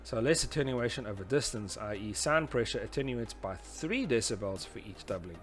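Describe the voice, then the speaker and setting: A man's voice: medium pitch, lively intonation, moderate pace, soft background music. A man presenting an informal technical lesson, explaining step by step in a somewhat hesitant, conversational way. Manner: hesitant, explanatory, often saying "you know". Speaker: instructor presenting a tutorial series. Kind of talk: informal explanatory lecture talking through slides and diagrams.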